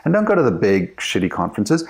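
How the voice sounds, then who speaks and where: A man's voice: medium pitch, big pitch swings, fast. A South African man being interviewed as a guest, talking candidly and emphatically with easy laughs and repeated words as he thinks. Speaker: guest, company CEO and author. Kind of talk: casual interview chat.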